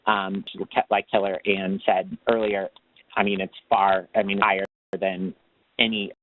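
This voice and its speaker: A man speaking informally as a webinar panelist in halting phrases with repeated words, over a tinny phone line. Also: low-pitched, little pitch movement, a little hiss or hum. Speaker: tax program manager speaking as panelist. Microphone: telephone or conference call line.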